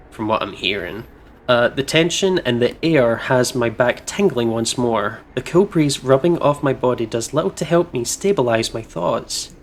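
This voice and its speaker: A man with a mid-pitched voice hosts a visual-novel playthrough. He mixes playful, informal chat with read-aloud narration, over noticeable background noise. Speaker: let's play host.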